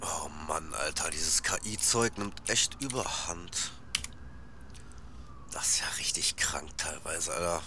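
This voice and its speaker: A man's voice: sits low, slow, background noise. A man playing a laid-back, slightly naive rapper in casual, slangy audio drama dialogue. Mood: pondering. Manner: colloquial, slangy.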